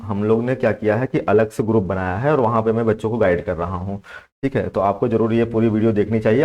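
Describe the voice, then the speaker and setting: Low-voiced young man coaching. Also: darkish tone. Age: young adult. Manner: explanatory, repeats points, frequent check-in tags. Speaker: exam coach and channel host. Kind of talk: informal instructional talk to students.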